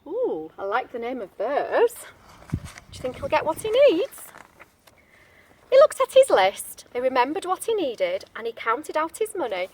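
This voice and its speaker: Animated high-pitched woman reading a storybook. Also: slight background noise, poor audio. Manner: expressive, chatty asides and questions to child listeners. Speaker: storybook reader, teacher-like. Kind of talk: reading a picture book aloud to young children.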